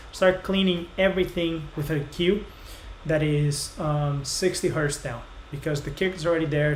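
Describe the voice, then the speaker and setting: A man in his thirties from Brazil with a Brazilian Portuguese accent, casually explaining mixing feedback on a live stream, full of fillers. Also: on the high side. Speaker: music reviewer host. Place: small home studio room. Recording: roomy echo, faint noise.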